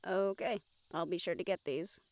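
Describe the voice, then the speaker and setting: A woman's voice: on the low side, moderate pace, a little mellow, no echo, close to an everyday voice. A woman playing an easygoing, helpful young travelling companion in a scripted audio roleplay, friendly and practical.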